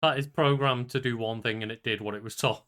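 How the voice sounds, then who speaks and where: A man's voice: mid-range voice, steady tone, quick pace, a bit bright. A man talking casually as the co-host of a podcast, in loose conversational speech.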